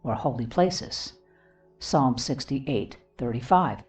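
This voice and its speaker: Slow, low-voiced woman reading devotionally. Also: expressive, darkish tone. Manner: solemn, deliberate, with pauses between short phrases. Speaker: reader of a devotional book. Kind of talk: devotional reading aloud with scripture citations. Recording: dull lo-fi, distant mic, music behind.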